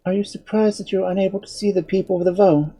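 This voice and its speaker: A storybook narrator voicing a gracious, curious woman in a soft, gentle manner. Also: medium pitch, fairly even intonation, quick pace, slightly rough, pitched above the performer's own voice. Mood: amused.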